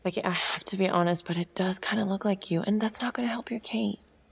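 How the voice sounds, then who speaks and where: A woman's voice: mid-range voice, a little fast, gravelly, breathy edge. A woman playing an earnest, anxious character in a naturalistic crime-thriller game, speaking hesitantly and pleadingly. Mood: careful, honest. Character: earnest, anxious woman questioning a detective friend.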